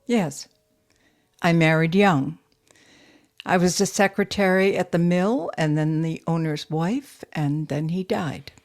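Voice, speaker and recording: Casting: young female mill boss for audio play, composed and matter-of-fact, slow measured delivery, lowish register. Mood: reserved, matter-of-fact. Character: composed young widow running a steel mill, guarded. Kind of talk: audio drama acting.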